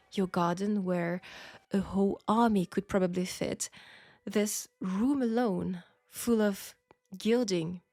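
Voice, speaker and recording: Slow-talking French seamstress, played by a woman. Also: medium pitch, darkish tone, no room sound, clean studio sound. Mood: reproachful, candid. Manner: formal, deliberate, bluntly candid. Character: candid, proud French seamstress in steampunk Paris. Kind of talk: intimate scripted audio roleplay.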